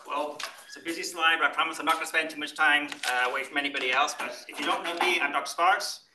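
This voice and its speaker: A man from Wales with a Welsh accent mixed with Kentucky, giving a brisk, upbeat welcome talk to students as department chair. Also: fairly high pitch, fairly even intonation. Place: lecture room. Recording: roomy, from a distance, faint noise.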